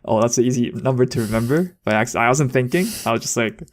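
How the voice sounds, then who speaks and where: A man's voice: medium pitch, fast talker. A young man co-hosting a podcast, telling anecdotes in casual, slangy, rambling talk. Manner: informal, rambling. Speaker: podcast co-host.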